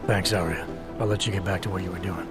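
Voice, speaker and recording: A man playing a stoic, guarded augmented agent in a video game, with terse deadpan delivery. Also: sits low, fast, over loud music. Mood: calm, curt. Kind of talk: video game cutscene acting.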